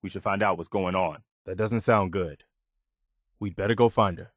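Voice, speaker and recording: A man's voice: deep voice, normal speed, no echo, clean studio sound. A man playing an earnest, loyal militia officer in a video game, speaking sincerely and plainly with a sense of duty. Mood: wary, determined. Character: earnest, steadfast militia officer, loyal adult male companion. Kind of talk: acted video game dialogue.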